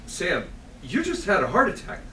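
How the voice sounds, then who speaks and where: Man playing excitable coffee shop owner, wildly swinging pitch, bright forceful voice, distant roomy stage recording. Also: normal speed, a little hiss or hum, full character voice. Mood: relieved. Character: excitable widowed coffee shop owner, warm-hearted boss. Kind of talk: comedy script table read. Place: small theatre stage, seated before an audience. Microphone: far camera.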